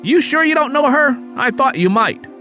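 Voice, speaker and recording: A man doing a high, condescending old Southern storekeeper. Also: expressive, moderate pace, pushed and bright, faint music behind, heavily acted. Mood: condescending, falsely cordial. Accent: American South. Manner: patronizing, domineering, emphatic.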